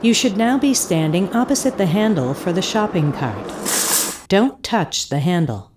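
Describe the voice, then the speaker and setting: A woman playing an eerie audio tour guide in an audio drama, giving deliberate instructions with hypnotic repetition. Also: lowish voice, very animated, background noise. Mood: calm, commanding. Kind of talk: scripted audio drama narration. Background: ambient field recording and foley sounds.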